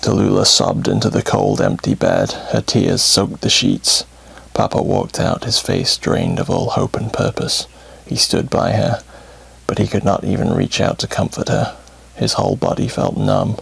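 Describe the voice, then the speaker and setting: A man narrating a scripted horror bedtime story in a grave, dramatic, deliberate way, with music under his voice. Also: low voice, creaky, husky, low-quality recording.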